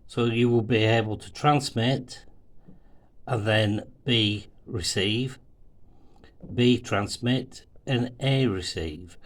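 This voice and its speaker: A man's voice: medium pitch, slow. A man presenting an amateur radio tutorial, explaining methodically step by step over a demonstration.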